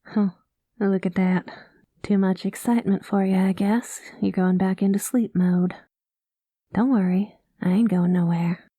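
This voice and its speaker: Woman doing a rogue space cowgirl pilot, mellow voice, dry and close, drawls, whispers now and then. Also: medium pitch, fairly even intonation, unhurried, slightly rough, dry recording. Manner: soft-spoken, slips into whispers; cowboy slang and drawl. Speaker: ASMR roleplay performer. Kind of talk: ASMR roleplay, spoken to the listener.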